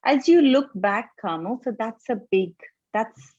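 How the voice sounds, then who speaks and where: A woman's voice: fairly high pitch, animated, unhurried, darkish tone, slightly rough, muffled recording. A woman in her forties hosting an interview, enthusiastic and chatty with many fillers. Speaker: host. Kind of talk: interview host chat.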